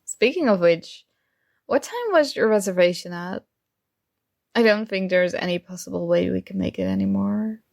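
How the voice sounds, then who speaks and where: A woman's voice: medium pitch, expressive, normal speed, vocal fry, a little mellow, no room sound, studio quality. A woman acting a young roommate who is shy, teasing and smitten with a friend, in a playful, conversational ASMR roleplay.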